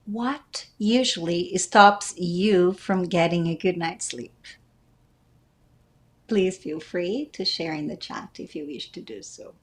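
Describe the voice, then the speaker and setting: A woman leading a guided meditation as its teacher, speaking gently and deliberately with frequent pauses. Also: on the low side. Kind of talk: guided meditation, calm instruction.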